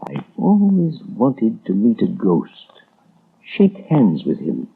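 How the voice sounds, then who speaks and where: Coolly sinister husband, 1930s radio melodrama; swooping delivery, narrow old broadcast sound. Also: male voice, fairly low pitch, wildly swooping pitch, slow, vocal fry, husky, exaggerated voice. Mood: suavely amused. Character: sinister, mocking husband, coolly fascinated by ghosts. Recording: narrow vintage radio, faint music.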